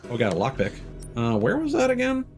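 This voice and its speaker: A man's voice: mid-range voice, huge pitch swings, quick pace, darkish tone, faint music behind. A man giving casual gameplay commentary as a streamer, muttering to himself and reading in-game notes aloud.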